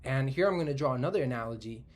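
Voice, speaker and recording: A man in his twenties from Spain talks through an idea in a casual solo monologue. He thinks out loud as he goes, restarts his sentences and often tags them with "right?". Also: mid-range voice, lively intonation, very fast. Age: 20s. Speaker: tech commentator.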